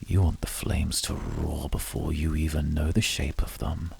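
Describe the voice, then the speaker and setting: A man playing a cool, controlled, seductive demon servant in a scripted ASMR roleplay, speaking in a calm, measured, husky voice. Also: a bass voice, slight background noise, exaggerated voice. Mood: teasing, restrained. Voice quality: husky, breathy. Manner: measured, calm, formal and intimate.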